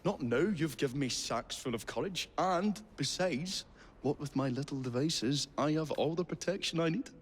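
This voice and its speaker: A man's voice: fairly high pitch, moderate pace, breathy edge. A male actor playing a kindly, absent-minded inventor in a video game, speaking in hesitant, formal, wordy phrases. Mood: grateful, earnest. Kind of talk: video game cutscene acting.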